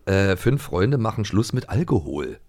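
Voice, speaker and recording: A middle-aged man co-hosting a show in casual chat, telling things enthusiastically in a halting, repetitive way. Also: lowish voice, big pitch swings, darkish tone. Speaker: co-host of an audio drama magazine show. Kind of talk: casual chat, reviewing audio plays.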